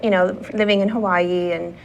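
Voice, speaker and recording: A woman's voice: on the low side, steady tone, moderate pace, vocal fry, dull audio, a little hiss or hum. A woman from the pageant organization explaining its rules, with many "um"s and "you know"s.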